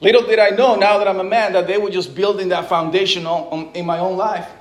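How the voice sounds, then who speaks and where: A man from the Dominican Republic preaching with a notably high voice. He talks to the congregation in a loose, conversational way, restarting words and dropping in casual asides. Middle-aged, a pastor in full sermon. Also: fairly even intonation, fast.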